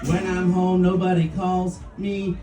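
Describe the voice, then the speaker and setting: A man's voice: high voice, completely flat delivery, a little slow, far from the mic. A man in his thirties, a musical comedian, singing jokey story songs with guitar and chatting with the crowd between them. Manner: jokey sung storytelling, chuckling between lines. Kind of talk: comic songs with guitar and stage banter. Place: small bar venue stage, live audience. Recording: roomy live club recording from afar, with guitar.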